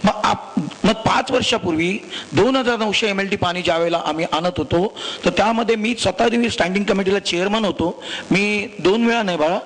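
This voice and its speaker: A man's voice: sits high, a little fast, hardly any pauses, a bit bright, rough recording. A man with a Maharashtrian accent giving a formal panel speech as a politician, wordy and repetitive, leaning on facts and figures. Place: live public panel event.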